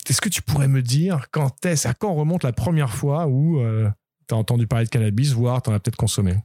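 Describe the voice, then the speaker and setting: A man hosting a podcast interview, asking calm, friendly questions. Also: mid-range voice, a little fast. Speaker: podcast host.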